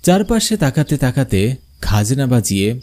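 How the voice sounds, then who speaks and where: A man playing a travelling bioscope showman, narrating an eerie cartoon story in the first person with expressive delivery. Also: medium pitch, moderate pace, slight background noise, lightly acted. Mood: storytelling. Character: travelling village bioscope showman telling his own eerie tale.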